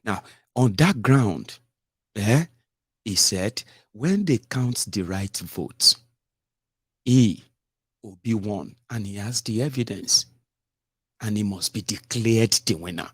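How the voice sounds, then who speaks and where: A man's voice: on the low side, expressive, slow, pauses often, slightly rough, no echo, clean studio sound. A middle-aged Nigerian man giving combative, mocking political commentary with rhetorical asides. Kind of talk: opinionated solo commentary.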